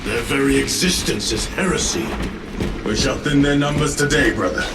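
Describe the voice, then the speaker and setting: A man's voice: mid-range voice, moderate pace, natural voice. Very noisy, echoing, man playing solemn space soldier, righteous, grave, formal, video game drama. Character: zealous, solemn armored space marine battle-brother. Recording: echoey, distant, under loud vehicle and battle noise.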